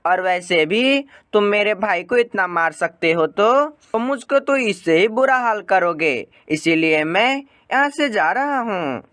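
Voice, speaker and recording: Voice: medium pitch, lively intonation, unhurried, few pauses, fairly bright tone, no echo, clean studio sound. A narrator voicing an angry, distrustful sparrow wife in a cartoon moral story. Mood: angry accusing.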